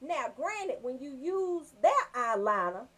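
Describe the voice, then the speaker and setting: Southern us lady hosting a radio show, high voice, brash and animated, cusses a lot telling funny stories. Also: slow, slightly rough. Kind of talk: comedic storytelling on a casual talk show.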